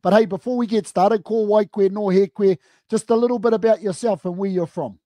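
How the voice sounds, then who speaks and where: A New Zealand man hosts a radio sports show in a high, mellow voice. He stays upbeat and drops Māori greetings into his English. The sound is close and dry. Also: fairly even intonation, fairly quick, no room sound.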